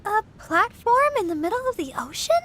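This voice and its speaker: A woman voicing a sweet, girlish young companion in a video game, friendly and expressive in manner. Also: extremely high pitch, moderate pace, a little hiss or hum, heavily acted. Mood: curious. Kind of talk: video game character acting. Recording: music behind the voice.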